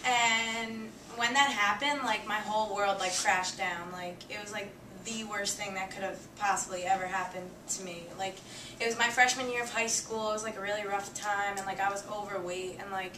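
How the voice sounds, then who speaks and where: A woman's voice: medium pitch, fairly even intonation, normal speed, pushed and bright. A twenty-year-old woman from New Jersey, a student giving a casual personal introduction to her class, rambling and leaning heavily on "like". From New Jersey, USA. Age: 20s. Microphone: far camera. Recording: echoey classroom from far off with noise.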